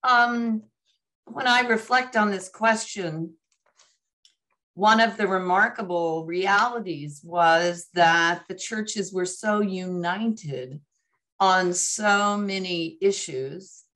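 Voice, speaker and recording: A woman's voice: mid-range voice, a bit bright. An older American woman on a video call, speaking reflectively with many fillers while recovering from a cold. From United States. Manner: slow, reflective, many ums and uhs. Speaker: former commission moderator. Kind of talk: webinar panel answer. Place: home living room.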